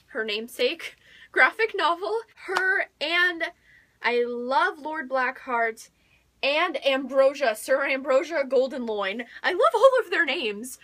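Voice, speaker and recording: A young American woman chatting casually and excitedly to viewers as a book vlogger, gushing and emphatic, often repeating words for emphasis. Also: high voice.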